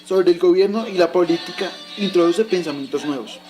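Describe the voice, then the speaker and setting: A man's voice: sits high, a little fast, over music, lightly acted. A man playing a patient father who explains history to his son in a recited, didactic way, in an educational radio drama. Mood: explanatory.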